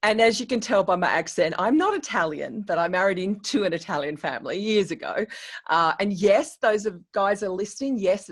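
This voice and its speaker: A middle-aged woman from Australia, a coach and educator, telling her story as a podcast guest in a chatty, animated way with many restarts and fillers. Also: lowish voice. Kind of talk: podcast interview.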